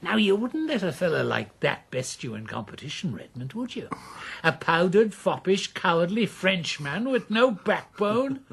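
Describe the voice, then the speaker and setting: Gravelly old Irish rogue uncle. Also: male voice, sits high, very animated, moderate pace, fairly bright tone, heavily acted. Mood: goading. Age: older. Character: scheming old Irish gambler uncle, roguish and persuasive. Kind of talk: radio drama acting.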